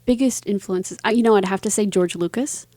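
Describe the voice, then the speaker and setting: A woman's voice: mid-range voice, a little fast, mellow, no room sound, clean studio sound. A woman talking casually as an interview guest, explaining things thoughtfully in long linked phrases. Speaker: interview guest, singer, author and voice actor.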